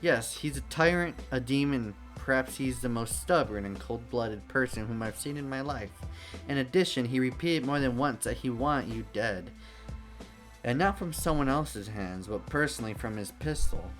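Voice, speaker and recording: A man's voice: mid-range voice, moderate pace, a bit bright, soft background music, close to an everyday voice. A man voicing a grim resistance captain. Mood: bitter.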